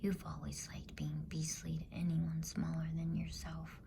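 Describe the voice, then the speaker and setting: A woman's voice: low-pitched, monotone, unhurried, gravelly, warm tone, a little hiss or hum, exaggerated voice. A woman voicing a stern, protective older brother from a storybook, scolding in a firm, reproachful whisper.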